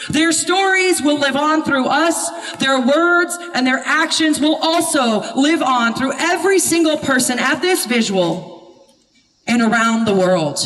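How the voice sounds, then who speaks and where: Reverberant and some way from the microphone, a woman speaks out as an activist at a vigil. Her voice is very high-pitched. She delivers a passionate protest address with emphatic, deliberate, declamatory phrasing. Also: a bit bright. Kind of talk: impassioned protest speech. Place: public vigil gathering, speaking to a crowd. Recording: echoey amplified speech from a distance.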